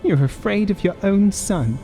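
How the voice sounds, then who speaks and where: A desperate old woman in a gothic audiobook story, acted out by a male narrator. Her voice is pitched high and strained with grief. She cries out urgently and pleads, with faint music behind her. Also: expressive, unhurried, dark tone. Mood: frantic, desperate. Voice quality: strained, shrill. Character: frantic grieving old woman, desperate and shrill.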